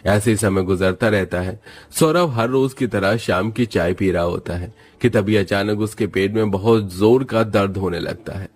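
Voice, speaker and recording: A man's voice: fairly low pitch, moderate pace, a little mellow. A man narrating a scripted moral story as its storyteller.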